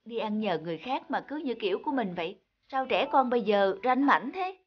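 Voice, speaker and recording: A woman voicing a young female traveller in a cartoon, expressive and quick to judge. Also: on the high side, lo-fi sound. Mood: indignant. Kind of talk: cartoon dubbing.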